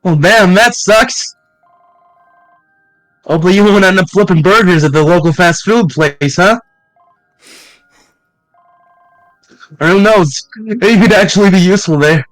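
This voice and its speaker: A man's voice: high voice, moderate pace, fairly bright tone, dry recording, faint music behind, low-quality recording. A man doing a scornful, fed-up parent. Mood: sneering. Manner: ranting, contemptuous. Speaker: co-streamer voicing a visual novel.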